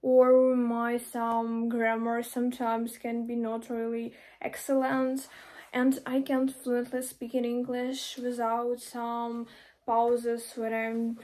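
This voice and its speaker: Young ukrainian woman, high soft voice, slow and halting with lots of um and like, small room. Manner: halting, with many fillers and restarts, gestures with her hands. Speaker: online mentor. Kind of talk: casual advice vlog. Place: small indoor room by a door.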